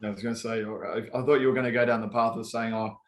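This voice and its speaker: A man's voice: on the low side, steady tone, very fast. A man, a gym owner co-hosting a podcast, talking in a loose, self-mocking way and swearing freely. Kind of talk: casual chat.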